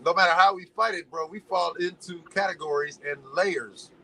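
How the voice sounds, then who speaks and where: A man from Los Angeles chatting casually as a podcast guest, an animated, slangy storyteller who drifts into preachy musings. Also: high voice, normal speed, rough recording. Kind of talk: casual chat.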